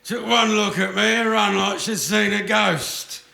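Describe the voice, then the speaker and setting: Grumpy old grandfather, high creaky voice, blustering, slow, radio play, roomy sound. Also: fairly even intonation, bright, forceful tone, exaggerated voice. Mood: hearty. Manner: blustering, querulous. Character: cantankerous hard-of-hearing old grandfather, bossy but hearty. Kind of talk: live radio play acting. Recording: roomy live stage recording.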